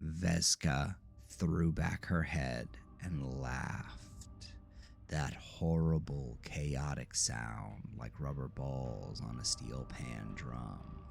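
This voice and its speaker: Male narrator, scripted horror tale, very deep, slow, music behind. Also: vocal fry.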